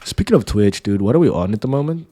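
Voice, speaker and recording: Man with a low, dark nasal voice. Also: animated, quick pace. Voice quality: nasal from a cold. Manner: laid-back, joking, calls listener dude and bro. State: sick with sinus trouble. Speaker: streamer. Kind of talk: casual chat about streaming.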